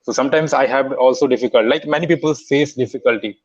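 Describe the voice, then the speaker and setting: A man's voice: medium pitch, quick pace. Indian man on muffled call audio. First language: Hindi. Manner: hesitant, many restarts and fillers, friendly. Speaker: English learner. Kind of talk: casual online conversation practice.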